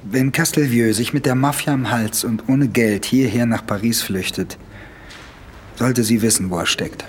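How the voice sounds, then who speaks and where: Detective, radio play male actor: medium pitch, careful and measured, light ambience in the background. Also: slight background noise, natural voice. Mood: measured, probing. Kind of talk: radio drama acting.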